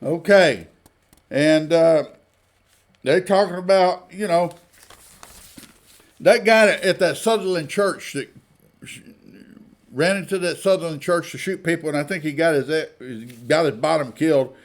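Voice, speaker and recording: A man's voice: on the high side, unhurried, pauses often. A man giving informal, opinionated news commentary in a blunt, folksy, indignant manner, often throwing out rhetorical questions. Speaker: online commentator.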